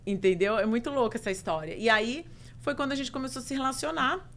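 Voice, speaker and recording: Expressive Brazilian woman telling her story. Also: on the high side, fast talker. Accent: Brazilian Portuguese. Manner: expressive, emphatic, lists things in rhythmic runs. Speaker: podcast guest, mentor. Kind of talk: casual chat, personal storytelling.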